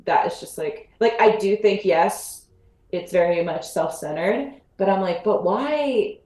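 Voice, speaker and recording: A woman chatting casually about a tabletop game as a podcast panelist, thinking aloud in halting, repeated phrases. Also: lowish voice, warm tone. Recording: roomy, echoing sound.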